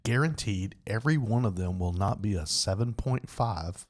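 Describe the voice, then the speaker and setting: A Southern US man with a very low, deep voice, chatting easily as a podcast co-host. His talk is laid-back and drawling, with the soothing tone he says listeners fall asleep to. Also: animated, moderate pace. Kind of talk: casual chat over bourbon.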